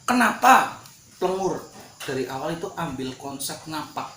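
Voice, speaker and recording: Male co-host, relaxed joking chat, echoey room, mic a bit far. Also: fairly high pitch, moderate pace, a little hiss or hum. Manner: joking, asks questions, fillers. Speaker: podcast co-host. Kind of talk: casual chat in Indonesian with Javanese phrases.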